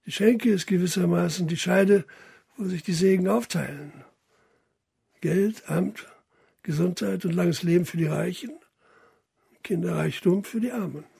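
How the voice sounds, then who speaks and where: Husky, creaky puppeteer, sly and wry. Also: male voice, high-pitched, unhurried, long pauses, mellow, full character voice. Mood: dry, wry. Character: wry, unhurried male puppeteer of the old town, knowing and secretive. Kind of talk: German radio play acting.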